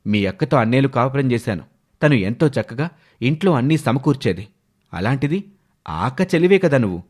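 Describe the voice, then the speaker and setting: A man's voice: mid-range voice, a little mellow, lightly acted. A man playing an indignant, hardworking husband who scolds and questions in a moral story cartoon. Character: indignant hardworking husband, protective elder brother. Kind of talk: animated moral story voice acting.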